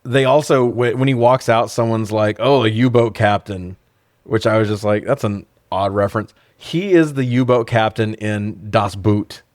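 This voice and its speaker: A man's voice: on the low side, normal speed, no echo, clean studio sound. A man co-hosting a podcast, giving a casual, comedic, sweary recap of a movie in slangy talk. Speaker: podcast co-host.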